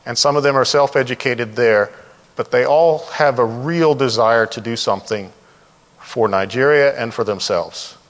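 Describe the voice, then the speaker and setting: A man's voice: medium pitch, fairly bright tone. Guy doing a casual conference talk, frank and opinionated, hall reverb on his voice. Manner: conversational, candid, opinionated. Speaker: conference speaker, developer trainer and consultant. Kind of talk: informal conference presentation. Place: large indoor presentation room.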